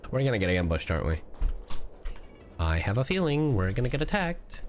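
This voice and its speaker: Male VTuber playing games live: extremely expressive pitch, exclamatory commentary, mock-aggressive, soft music behind. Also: brisk. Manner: exclamatory, swearing, mock-confrontational. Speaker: livestreamer, VTuber. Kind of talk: live game stream reaction commentary.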